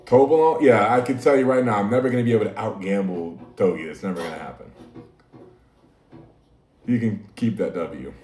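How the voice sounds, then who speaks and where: A man's voice: on the low side, fairly quick, pauses often, darkish tone. A man hosting a casual livestream, talking easily to his chat and laughing often. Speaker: livestream host. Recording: echoey room, mic at a distance.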